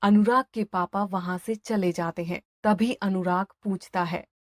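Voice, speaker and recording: Woman reading out a dramatic story, medium pitch, dry close recording. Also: fairly even intonation, a little slow, no echo. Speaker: story narrator. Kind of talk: scripted dramatic story narration.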